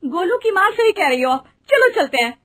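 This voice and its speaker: A woman's voice: very high voice, very animated, moderate pace, a bit bright, exaggerated voice. A woman playing an anxious village mother searching for her child in a cartoon story.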